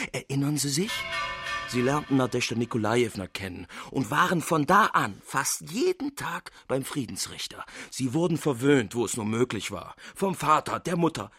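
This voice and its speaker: A lecturing, reproachful country doctor, husky. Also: male voice, medium pitch, fairly bright tone, full character voice. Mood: coolly analytical. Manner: formal, pointed, lecturing. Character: analytical, reproachful male country doctor confronting his friend. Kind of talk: German radio drama acting.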